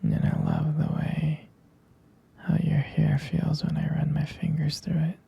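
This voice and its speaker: A man speaks in a tender, adoring boyfriend ASMR murmur, slow and very soft. His voice is extremely breathy and husky, slipping into whispers, with heavy vocal fry running through it. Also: a little high, little pitch movement, lo-fi sound. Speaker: ASMR roleplay creator. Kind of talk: intimate boyfriend roleplay ASMR.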